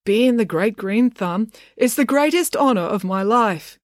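A woman's voice: a little high, expressive, a little slow, no room sound, studio quality, voice pushed up. A woman voicing an awkward, flustered middle-aged dad in a children's audiobook, honest and rambling. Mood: earnest. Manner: hesitant, earnest, rambling.

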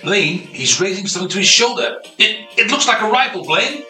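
Casting: a helpful ghost, very bright high voice, distant roomy mic. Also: male voice, expressive, a little fast, faint music behind, full character voice. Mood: informative. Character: helpful ghost companion, informative.

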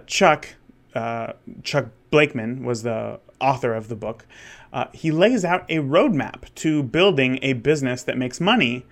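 A man of thirty, a wedding photographer, talking candidly in a rambling solo monologue with many restarts. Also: mid-range voice. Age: 30s. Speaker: wedding photographer and podcast host.

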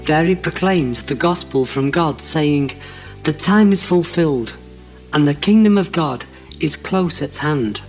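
A man's voice: fairly high pitch, expressive, measured pace, faint music behind, rough recording. A man reading a Gospel passage aloud as a scripture reader.

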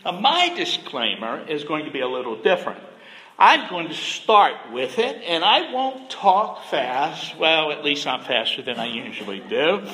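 A soft-spoken middle-aged pastor gives a gentle, measured sermon at a slow, unhurried pace. His voice sits a little high for a man and sounds bright and clear. It rings with the long reverb of a church sanctuary. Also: very animated.

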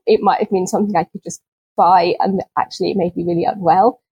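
A woman guest on a nature podcast, answering in a gentle, unhurried way with small restarts and soft laughs, sounding a little low on energy. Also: fairly even intonation, highs cut off, slight background noise. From UK, not Scotland. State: chronically ill, speaks with low energy. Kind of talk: conversational interview answers.

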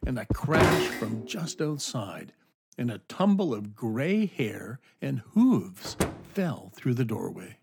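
A man narrating a children's audio drama as an expressive, measured storyteller. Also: medium pitch, vocal fry, over music.

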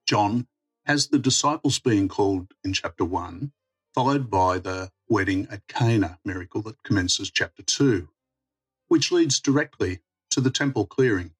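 A man delivers a scripted scripture reflection. His voice sits fairly low and has a husky grain to it, with faint music underneath. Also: animated, unhurried, rough recording. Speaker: church mission worker giving a reflection.

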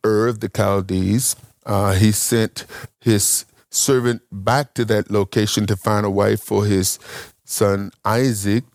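Slow, deliberate male Bible teacher. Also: lowish voice, a little mellow, dry recording, clean studio sound. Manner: slow, questioning, thinks aloud in broken phrases. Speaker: preacher teaching a congregation.

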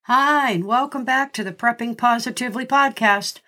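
A middle-aged woman hosting her podcast, a little nasal and congested from a cold, talking in a friendly way and reading out lists with pauses between the items. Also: fairly high pitch, bright, forceful tone, faint music behind. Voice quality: slightly nasal. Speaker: podcast host. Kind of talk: scripted podcast monologue.

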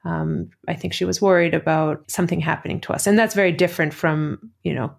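A middle-aged woman with a slightly low voice and an American accent. She shares reflective personal stories as an interview guest, conversational and often rephrasing as she thinks aloud. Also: fairly even intonation, brisk, gravelly. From Athens, Greece.

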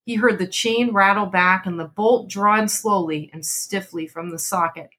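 A woman's voice: mid-range voice, a little slow, pushed and bright. A woman hosting a podcast, moving between dramatic story narration and casual chat. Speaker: podcast host and story reader.

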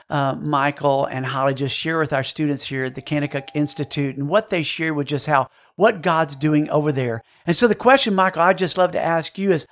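Enthusiastic host, fairly high voice. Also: male voice. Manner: enthusiastic, preacher-like emphasis. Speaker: interview host. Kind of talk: faith interview hosting.

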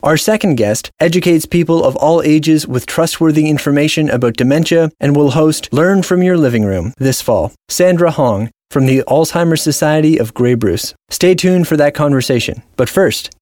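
Guy hosting, reading a scripted intro, highish voice, dry close sound. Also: darkish tone, dry recording. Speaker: host. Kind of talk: scripted narration. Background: music.

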